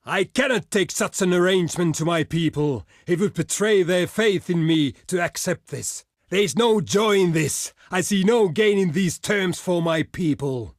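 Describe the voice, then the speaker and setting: An actor playing a proud, stern barbarian envoy in a video game, speaking in a solemn, formal and declamatory way. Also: male voice, high-pitched, animated, moderate pace, few pauses, no echo, clean studio sound. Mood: indignant, refusing. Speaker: diplomat character in a strategy game. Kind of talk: theatrical video game voice lines.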